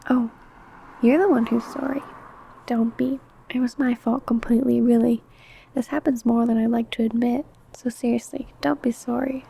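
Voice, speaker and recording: A woman acts out an ancient, obsessive vampire woman in an ASMR roleplay. Her voice is fairly high yet mellow, soft and breathy, with a little creak in it. She hides a predator's hunger under a sweet, coaxing manner. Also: little pitch movement, normal speed, slight background noise. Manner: soft, breathy, whispery murmuring.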